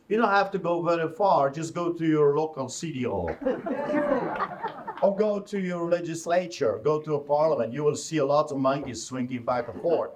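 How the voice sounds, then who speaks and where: A middle-aged man with a Polish accent, Polish his first language, preaching fiery and mocking to a crowd. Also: fairly high pitch. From Poland. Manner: forceful, mocking, rising to shouts. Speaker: street pastor.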